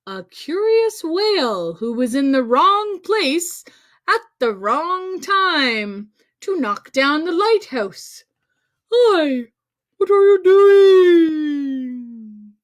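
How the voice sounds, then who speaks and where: A woman's voice: high voice, big pitch swings, drawn-out words. A woman storyteller reading a picture book aloud to children in a playful, exclaiming manner, with dramatic emphasis and asides to young viewers. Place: recorded on a livestream.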